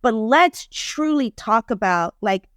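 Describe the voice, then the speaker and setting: A woman's voice: fairly high pitch, expressive, takes it slowly, fairly bright tone, clear, resonant tone, dry recording, clean studio sound. A woman in her fifties speaking as a podcast guest, giving opinions in an emphatic, casual way and repeating phrases for stress. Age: 50s. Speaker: podcast guest, relationship expert.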